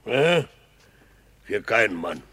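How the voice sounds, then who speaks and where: A man's voice: lowish voice, sing-song, takes it slowly, fairly bright tone, breathy edge, full character voice. A man in a radio drama playing a cheeky, earthy peasant gravedigger who drawls colloquially and quibbles. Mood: cheeky, quibbling. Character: cheeky male gravedigger, earthy peasant clown, singing at work. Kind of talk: classical radio drama acting.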